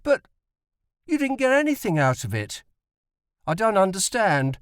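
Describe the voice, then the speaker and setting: Man acting young boy, audiobook character, raised pitch, earnest, protesting, indignant, dry sound. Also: big pitch swings, a little slow, clean tone, no echo. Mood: puzzled. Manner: plaintive, protesting.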